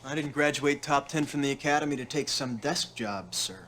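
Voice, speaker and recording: A young actor playing an eager, cocky military recruit, somebody's little brother, in a video game cutscene. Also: male voice, mid-range voice, brisk, fairly bright tone, a little hiss or hum, lightly acted. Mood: eager, defiant.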